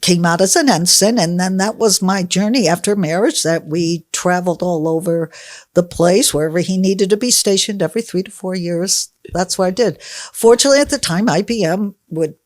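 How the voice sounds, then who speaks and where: A woman's voice: fairly low pitch, normal speed, dry recording, studio quality. A woman in her sixties from Connecticut, answering interview questions in a chatty, emphatic, conversational way. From United States (Connecticut). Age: 60s. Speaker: podcast guest, personal trainer.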